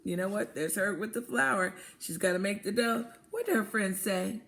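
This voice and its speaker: A woman reading a picture book aloud to children, expressive and deliberate, pausing to prompt her listeners. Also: medium pitch, vocal fry. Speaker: children's read-aloud storyteller, teacher.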